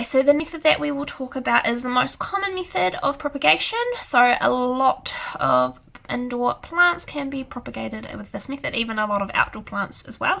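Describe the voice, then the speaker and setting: A young woman with a hoarse, croaky voice from illness, chatting casually as she explains plant care. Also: a little high, a bit bright. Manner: chatty and rambling, many "so yeah" fillers. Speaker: houseplant channel host. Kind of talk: casual tutorial to camera.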